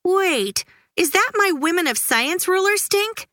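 A woman playing an excitable little girl in a storybook, her voice jumping high and squeaky with every outburst. The pranking kid swings her pitch all over the place, bright and sharp, recorded close and dry. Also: measured pace, dry recording, exaggerated voice. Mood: excited. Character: spirited young girl who loves pranks.

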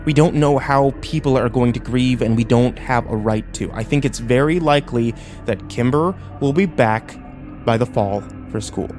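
Guy doing a stern small-town sheriff dad for an audio story, weary but firm, bit raised in pitch, music behind. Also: moderate pace, darkish tone, natural voice. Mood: placating, firm. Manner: authoritative, firm, explaining.

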